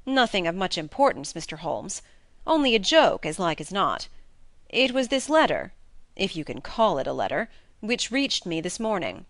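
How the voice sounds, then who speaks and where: A woman acts out a blunt, plain-spoken gentleman from a classic storybook. The delivery is emphatic and colloquial, swinging widely in pitch. The recording is close and dry. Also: sing-song, moderate pace, no room sound. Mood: frank, puzzled. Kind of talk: acted audiobook dialogue.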